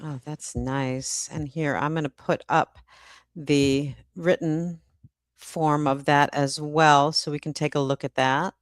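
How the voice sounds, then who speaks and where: Female live-stream host, slow and halting delivery, dry close sound. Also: sits low, fairly even intonation, no room sound. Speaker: host and publisher. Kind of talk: hosting a casual live-stream chat.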